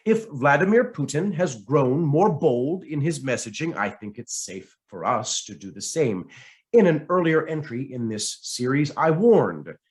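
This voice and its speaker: A man reading a scripted essay aloud as narration, with a theatrical, emphatic delivery and deliberate pauses. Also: medium pitch, sing-song. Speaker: reader of a political essay.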